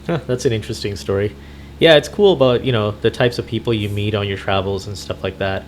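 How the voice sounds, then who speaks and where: A man with a fairly low voice talks casually, as if improvising a roleplay while gaming. He is halting and often repeats words as he thinks, with some noise in the background. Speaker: gamer, video host roleplaying a truck driver.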